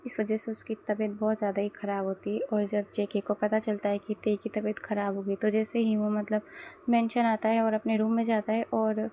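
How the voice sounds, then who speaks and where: A woman's voice: medium pitch, monotone, fairly quick, dark tone, slight background noise. A woman with a sore throat telling a fan-fiction story in a chatty, casual way. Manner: chatty, mixes in English words.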